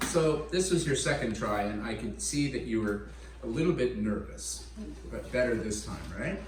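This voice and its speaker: A man's voice: mid-range voice, expressive, background noise. A man teaching English, giving a student simple, encouraging feedback. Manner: encouraging, explains slowly and simply. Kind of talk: classroom feedback. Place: classroom. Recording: echoey classroom, distant mic.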